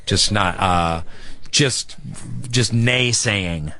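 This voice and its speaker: A man's voice: fairly low pitch, extremely slow, bright, forceful tone. A man hosting a talk show in casual radio chat, earnest and reflective with bursts of joking.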